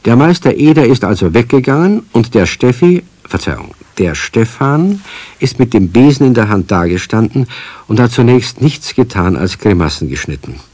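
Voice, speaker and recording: A man as the genial narrator of a children's radio play, telling the tale in an expressive storytelling manner. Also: mid-range voice, moderate pace, dry recording. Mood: wry storytelling.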